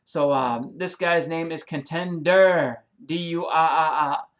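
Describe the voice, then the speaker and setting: Brief: Boston-accented man, a touch high-pitched, casual rambling vlogger, thanks subscribers, fillers galore. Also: a little slow. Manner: rambling, many ums and you-knows, restarts; friendly, apologetic. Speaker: comic and movie YouTuber.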